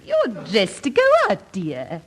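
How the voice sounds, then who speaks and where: A woman's voice: mid-range voice, wildly swooping pitch, slow pace, full character voice. An actress playing a fretful, genteel middle-aged mother in a 1950s radio comedy, anxious and hesitant in manner. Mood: flustered. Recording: 1950s radio play recording.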